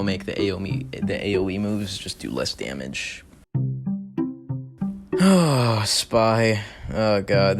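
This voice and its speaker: A young man giving blunt, slangy, exasperated opinions in a gaming commentary voice-over. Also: fairly low pitch. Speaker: gaming video creator. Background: music.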